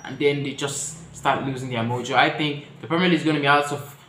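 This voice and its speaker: A man's voice: steady tone, fast talker, echoey room, slight background noise. A man giving his opinions to viewers in a casual vlog, chatty and speaking straight to the audience. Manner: chatty, addresses viewers directly, shifts pitch and loudness often. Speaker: football vlogger.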